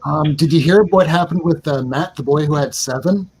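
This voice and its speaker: A man's voice: fairly high pitch, moderate pace, darkish tone, husky. Forty-year-old man rambling about comics. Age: 40s. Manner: enthusiastic, rambling, mild swearing. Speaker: podcast co-host. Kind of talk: casual comic book chat.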